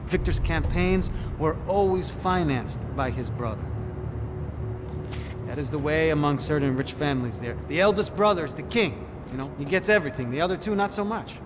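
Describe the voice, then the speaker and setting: An actor playing a cynical police detective in a game, speaking in a sardonic, knowing way. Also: male voice, a little high, expressive, moderate pace, natural voice. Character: sly, cynical Brazilian police detective, man. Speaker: police officer. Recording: game scene with loud street traffic.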